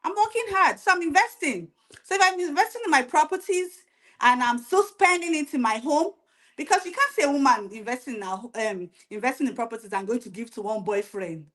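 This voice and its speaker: A woman's voice: high voice, expressive, fairly quick, a bit bright. A woman, a panelist giving her opinion earnestly in a chat show discussion. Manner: earnest, argumentative.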